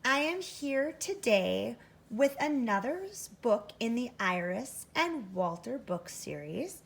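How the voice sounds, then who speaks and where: A woman's voice: fairly high pitch, big pitch swings, slow, creaky, fairly bright tone. A woman teaching first grade, giving an interactive read-aloud for children, thinking aloud expressively and addressing young listeners directly.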